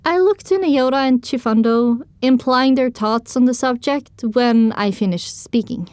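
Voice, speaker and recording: A woman's voice: on the high side, a little slow, a little mellow, no echo. A woman narrating an audio drama in the first person, reading scripted storytelling prose.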